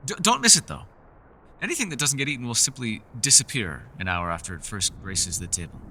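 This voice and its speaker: A man voicing a centuries-old elf in a fantasy roleplay, gentle, socially awkward and chatty, with formal, old-fashioned, apologetic phrasing. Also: medium pitch, very animated, a little fast, slightly rough, exaggerated voice. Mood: welcoming. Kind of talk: fantasy ASMR roleplay voice acting.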